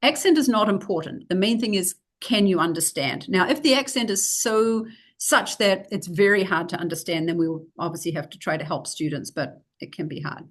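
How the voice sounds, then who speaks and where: A woman's voice: fairly low pitch, fairly quick. Woman, New Zealand accent, middle-aged, teacher trainer, practical explanations, frequent fillers, webcam video call. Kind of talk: recorded lecture with slides.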